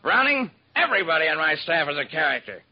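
A brash male music publisher from an old radio drama, talks in slangy, punchy lines. His voice is high and husky, on a dull vintage recording. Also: sing-song, fast talker. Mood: brisk, amused. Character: brisk, self-made male music publisher, colloquial and eager.

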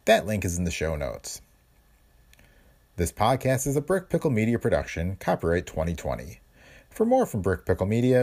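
A man's voice: fairly low pitch, darkish tone. A man hosting a podcast, reading scripted narration about its show. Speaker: podcast host.

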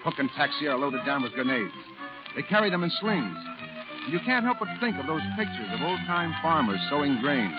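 Grave war correspondent, muffled old radio. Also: male voice, on the high side, sing-song, a little fast. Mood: fervent, rousing. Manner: dramatic, grave, declamatory. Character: solemn war correspondent narrating a battle diary, male. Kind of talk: 1940s radio drama narration. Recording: dull old radio recording with music.

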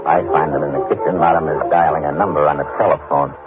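An actor playing a wry, colloquial American undercover investigator, narrating and acting in an old radio drama. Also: male voice, a bass voice, steady tone, fast talker. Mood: matter-of-fact. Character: wry, hard-boiled undercover investigator narrating his case. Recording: tinny old radio recording with music.

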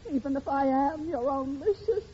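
An actress playing a whiny, self-pitying, easily wounded unmarried sister in an old radio comedy, with martyred melodrama. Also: female voice, sits high, fairly quick, warm tone, lightly acted. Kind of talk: 1940s-50s radio comedy acting. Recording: dull, noisy old radio recording.

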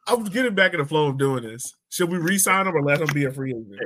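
A man's voice: a little high, big pitch swings, fairly quick, fairly bright tone. A man hosting a casual sports panel podcast in a loose, informal, joking way. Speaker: podcast host.

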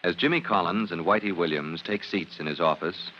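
A man's voice: deep voice, a little fast. A man reading scripted scene narration for an old radio drama. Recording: muffled old radio recording, faint noise.

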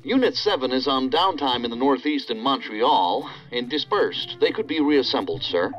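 A dutiful male task force agent reporting to a superior in a radio play, filtered as if over a lo-fi line. He is formal and guarded, yet his pitch moves a lot. Faint music runs behind. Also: expressive, moderate pace, a bit bright. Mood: deferential, guarded. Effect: radio or phone line filter. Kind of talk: audio drama acting. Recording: dull band-limited call line, music underneath.

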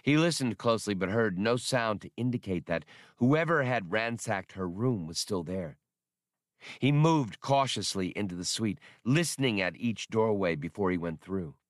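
A man's voice: fairly low pitch, no echo, clean studio sound. A man reading scripted fiction as an audiobook narrator.

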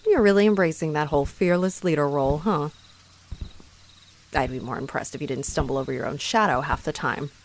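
A woman's voice: low voice, a little fast, slight background noise. A woman playing a haughty, sarcastic female vampire in a roleplay audio drama, mocking and theatrical as she taunts her rival. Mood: haughty, menacing.

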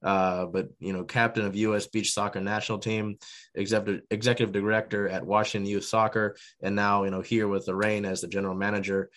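A man with a low-pitched voice hosts a sports interview podcast. He circles around his questions, piling on fillers and restarts before he gets to the point. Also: flat delivery, a little fast, fairly bright tone. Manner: rambling questions with many fillers. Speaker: podcast host.

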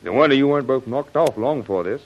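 An actor playing a plain-spoken, curious American adventurer with a colloquial manner, in 1940s radio style. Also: male voice, mid-range voice, expressive, fast, natural voice. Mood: curious, impressed. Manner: folksy, colloquial, questioning. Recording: dull, band-limited old radio recording.

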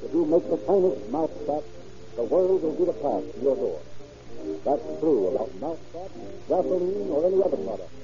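A man's voice: a little high, sing-song, brisk, pauses often, mellow, faint music behind. A man announcing and narrating from a script in the style of 1930s radio. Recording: dull, lo-fi 1930s radio recording.

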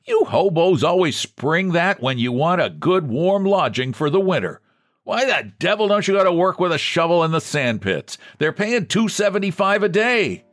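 A man doing a gruff, scornful jail keeper. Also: high-pitched, sing-song, normal speed, no room sound, clean studio sound, pitched above the performer's own voice. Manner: shouting, dismissive.

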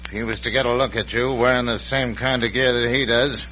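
Male actor as American crooked frontier lawyer, forceful, calculating, vintage radio drama with faint noise. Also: mid-range voice, steady tone, brisk, natural voice. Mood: scheming, irritated. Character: scheming, bossy crooked frontier lawyer villain. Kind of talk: old-time radio western acting. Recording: dull vintage radio with hum.

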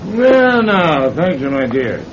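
A man's voice: very animated, slow. A man acting in an old-time radio drama as a sly thief posing as a folksy, fatherly priest, with hesitant, chuckling delivery. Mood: flustered, ingratiating. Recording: muffled, noisy old radio recording with rumble.

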